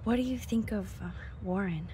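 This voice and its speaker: A woman acting a caring, nerdy young student photographer in a video game, hushed and supportive, quietly determined. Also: mid-range voice, moderate pace, a little hiss or hum, close to an everyday voice. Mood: playful, shy. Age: young adult.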